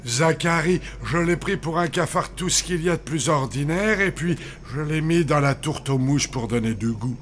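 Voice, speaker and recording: A man's voice: a little high, moderate pace, hardly any pauses, a bit bright, a little hiss or hum, lightly acted. A man voicing a meek, apologetic, hammy kitchen underling in a French children's cartoon. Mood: sheepish.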